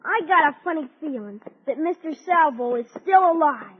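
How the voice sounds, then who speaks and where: Woman doing an eager american ranch kid, high boy voice, old radio serial, tinny and hissy. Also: lively intonation, moderate pace. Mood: earnest, hopeful. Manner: earnest, eager. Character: earnest, hopeful young ranch boy. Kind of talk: 1950s radio serial acting.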